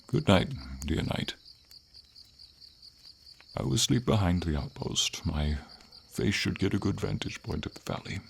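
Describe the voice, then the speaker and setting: A man playing a gentle, noble, melancholy silver dragon in an ASMR fantasy roleplay, speaking tenderly and formally in a breathy, gravelly voice. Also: animated, a little hiss or hum, exaggerated voice. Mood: gentle, reassuring. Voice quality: husky, gravelly, breathy low voice. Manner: slow, tender, formal, hesitant phrasing.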